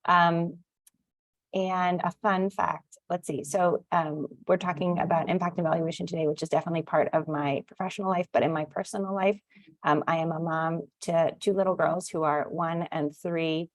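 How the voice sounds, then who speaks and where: A woman in her thirties speaks as the presenter of an online session, with a fairly low voice. Her tone is friendly and chatty, and she laughs easily. The sound is dry and close, with no room echo, over a video call. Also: steady tone, moderate pace. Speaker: webinar presenter. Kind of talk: casual video-call introductions.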